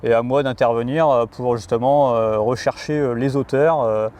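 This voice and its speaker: A man working as a rural police officer, explaining his job in an interview with frequent pauses and repeated words. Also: mid-range voice, steady tone, darkish tone. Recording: outdoor urban noise, vehicles behind.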